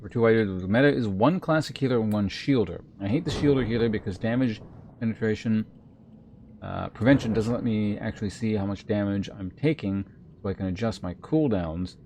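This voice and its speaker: Low-voiced man streaming a video game. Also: fairly quick, a little mellow. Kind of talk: live stream commentary and casual chat. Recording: faint noise on home stream.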